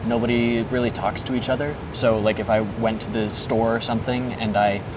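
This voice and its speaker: A man in his twenties from the United States, answering interview questions outdoors in a hesitant, thoughtful way with many pauses and ums. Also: fairly low pitch, little pitch movement. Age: 20s. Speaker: interview guest. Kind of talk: casual street interview. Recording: distant mic, loud traffic noise.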